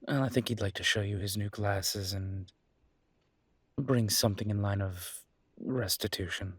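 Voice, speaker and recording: Husky, low-voiced charming mafia boss played by a man. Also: dry recording. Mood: smooth, persuasive. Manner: playful, polite, hesitant pauses and soft teasing. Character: charming, teasing male mafia boss flirting with a librarian. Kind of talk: roleplay audio drama, intimate one-sided dialogue.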